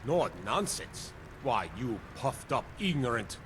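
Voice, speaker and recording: An actor playing a proud, gruff Nord warrior who speaks forcefully in a fantasy video game. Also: male voice, medium pitch, sing-song, slow, a little hiss or hum. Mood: indignant.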